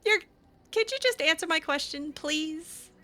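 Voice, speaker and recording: Animated, high-voiced woman roleplaying a game. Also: measured pace. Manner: casual, hesitant, sassy. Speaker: game streamer roleplaying her character. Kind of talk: improvised in-character roleplay over a fantasy game.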